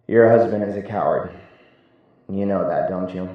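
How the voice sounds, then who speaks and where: A man voicing a vengeful, sadistic masked killer in a horror audio story, speaking in a deliberate, menacing, mocking way. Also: low-pitched, narrow, tinny audio. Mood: cold, condescending.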